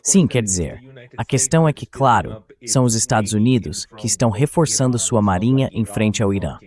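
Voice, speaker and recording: Synthetic male voice, lowish pitch, interview host, translated voice-over, discussion delivery. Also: expressive, brisk, a little mellow. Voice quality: synthetic dubbed voice.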